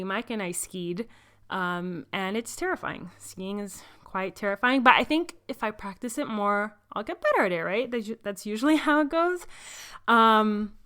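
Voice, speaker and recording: A woman's voice: medium pitch, fairly bright tone, smooth. A woman hosting a podcast, reading guest intros and show promos in an upbeat, enthusiastic way. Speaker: podcast host.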